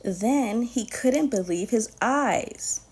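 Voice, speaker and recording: Casting: female children's storyteller, higher-pitched, slightly husky, very expressive and deliberate. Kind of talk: expressive children's picture book read-aloud.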